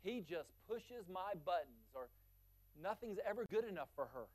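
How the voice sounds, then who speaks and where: A male pastor preaching a sermon, building force through long lists and dropping low and quiet for key points. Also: very animated, normal speed, low-quality recording.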